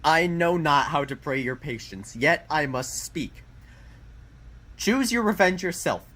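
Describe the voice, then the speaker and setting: A man playing a proud young gentleman lord in a Shakespeare radio play. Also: a little high, expressive, a little slow. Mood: pleading.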